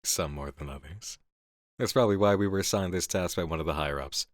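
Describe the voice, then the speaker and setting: An actor playing a composed, cerebral male coworker in an ASMR roleplay drama, speaking persuasively with deliberate pauses. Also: sits low, dry recording, studio quality, lightly acted. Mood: businesslike, relaxed.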